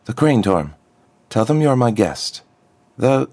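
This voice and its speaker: A man's voice: mid-range voice, big pitch swings, takes it slowly, a little mellow, pitched above the performer's own voice, full character voice. A man voicing a winged young princess who speaks with earnest urgency.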